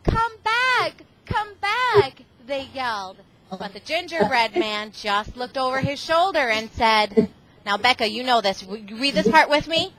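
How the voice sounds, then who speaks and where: Female classroom storyteller: high voice, unhurried pace, expressive delivery for young children, loud background noise. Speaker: reading tutor and visiting parent reading to a class. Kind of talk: storybook read-aloud to children. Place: school classroom. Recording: very noisy amateur classroom recording.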